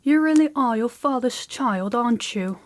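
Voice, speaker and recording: A woman's voice: fairly high pitch, expressive, normal speed, close to an everyday voice. A woman playing a commanding, haughty young princess in a scripted fantasy audio roleplay, speaking in an imperious, condescending way. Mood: stern, exasperated.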